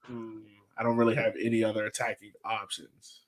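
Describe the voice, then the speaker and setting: A man co-hosting a podcast, thinking out loud in colloquial, animated soccer banter. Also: lowish voice, a little fast, low-quality recording. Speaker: podcast co-host.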